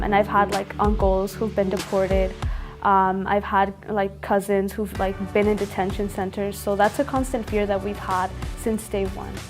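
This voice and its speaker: A young woman speaking earnestly in a documentary interview. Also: medium pitch. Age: young adult. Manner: earnest, uses fillers like "like".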